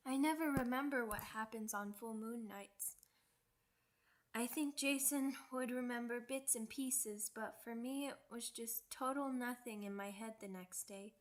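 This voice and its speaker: A young werewolf pup girl, played by a woman in an ASMR roleplay, speaking very slowly in a fairly high voice. She is timid and sweet, with hesitant, childlike phrasing and small stammered repeats. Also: natural voice. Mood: worried, confiding.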